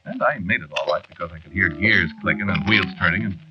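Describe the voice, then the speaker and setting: A man's voice: low voice, expressive, fast. An actor playing a weary, centuries-old henpecked man in a 1940s radio drama, confiding in a hesitant, wry way. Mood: wry recounting. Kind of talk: 1940s radio drama acting, first-person narration. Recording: dull, noisy 1940s radio recording.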